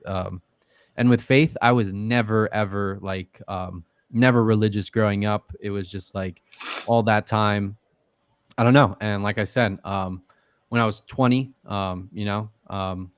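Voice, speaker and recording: Man, low-pitched, casual, swears often, lots of fillers, dry sound. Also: fairly even intonation, a little slow, clear, resonant tone, no room sound. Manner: loose and profane, many fillers. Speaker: podcast guest. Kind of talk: casual interview talk.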